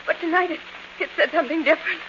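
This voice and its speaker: An actress playing a fragile young woman who fears for her sanity, breathless and pleading, in 1940s radio thriller style. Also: very high voice. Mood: anxious, despairing. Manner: breathless, halting, pleading, theatrical. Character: frightened, fragile young niece doubting her own sanity. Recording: dull, noisy old 1940s radio recording.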